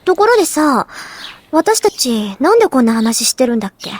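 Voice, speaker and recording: A proud, composed high school girl in an anime game. Her voice is high and she speaks extremely fast, with the poise of an honor student. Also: big pitch swings. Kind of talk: anime visual novel voice acting in Japanese.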